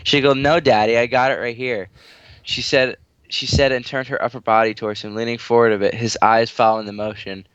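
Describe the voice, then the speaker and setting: A man's voice: medium pitch, little pitch movement, a little fast, fairly bright tone, smooth, no room sound, highs cut off. A man reading a story aloud in a casual, amateur way, crude, giggly and easily sidetracked into banter. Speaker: reader on a comedic story-reading show with friends.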